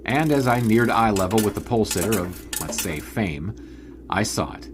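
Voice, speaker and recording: An actor playing a 1920s sports writer who narrates in a dry, wry, formal manner. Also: male voice, lowish voice, expressive, moderate pace, noisy background, lightly acted. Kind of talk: audio drama narration and acting.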